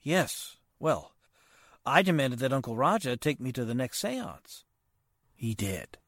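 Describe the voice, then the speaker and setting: A polite, worried gentleman in an old-time radio play, acted by a man. He speaks formally and haltingly, his pitch rising and falling widely, in a dry, close recording. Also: big pitch swings, no room sound, heavily acted. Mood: troubled. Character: polite, hesitant, worried nephew of a wealthy man. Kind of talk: 1940s radio drama acting.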